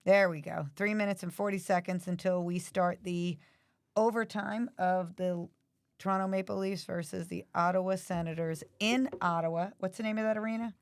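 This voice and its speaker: A woman with a lowish, gravelly, raspy voice, hoarse from allergies. She chats casually and keeps asking questions while watching a game. The sound is dry and close. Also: steady tone, moderate pace, dry recording. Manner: chatty, asks many questions. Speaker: livestream host. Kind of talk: casual chat watching hockey.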